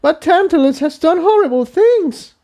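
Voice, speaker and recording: A man's voice: very high-pitched, expressive, moderate pace, warm tone, voice pushed up. A man voicing a timid, earnest young female pony in a fantasy story, speaking in an anxious, pleading way. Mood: upset, pleading.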